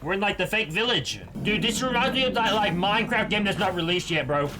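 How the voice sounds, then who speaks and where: A man's voice: high voice, lively intonation, fairly quick, over music. A man giving casual, slangy, sweary live reactions as a game streamer.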